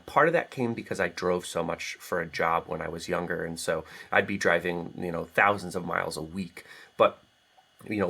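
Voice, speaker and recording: A middle-aged American man with a low-pitched voice chats as an interview guest. He is reflective and talkative, speaking in a casual, conversational way. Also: creaky, fairly bright tone. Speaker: guest author.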